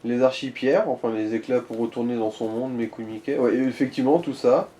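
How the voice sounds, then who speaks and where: Male gaming co-commentator, soft dark tone, casual crude slang, advisory role, faint background noise. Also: medium pitch, fairly even intonation, a little fast, roomy sound.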